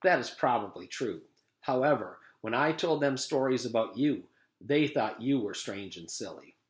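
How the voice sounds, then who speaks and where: Cheerful mustached yard teacher, read by a man, friendly storyteller, lively pitch, echoey room. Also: normal speed, close to an everyday voice. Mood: storytelling.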